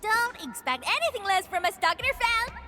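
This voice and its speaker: An actress playing a small, excitable, feisty young fan in a video game, with childlike, exclamatory delivery. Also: female voice, extremely high pitch, moderate pace, faint music behind, exaggerated voice. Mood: excited, proud. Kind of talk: animated video game voice acting.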